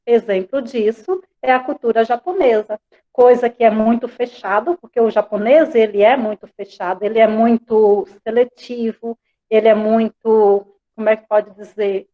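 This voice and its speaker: A woman's voice: fairly high pitch, moderate pace, phone-quality sound. Talkative Bahian woman teacher. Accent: Bahian Portuguese. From Bahia, Brazil. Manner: conversational, frequent tag questions. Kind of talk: online class lecture. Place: home, online class. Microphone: video call.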